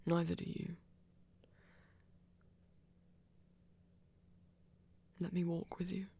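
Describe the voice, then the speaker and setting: A woman playing a refined, flirty male vampire with a breathy voice and formal, careful phrasing in an intimate audio roleplay. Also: on the low side, monotone, brisk. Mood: resolute, candid. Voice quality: breathy, whispery. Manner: formal, careful diction without contractions, gently teasing.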